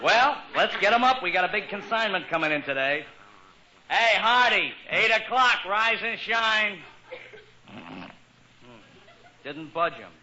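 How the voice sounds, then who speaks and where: A man's voice: sits high, very animated, unhurried, long pauses, sharp tone, heavily acted. An actor playing a mischievous, slangy warehouse workman who wisecracks and chuckles in a 1940s radio comedy. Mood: teasing. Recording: noisy muffled 1940s radio recording.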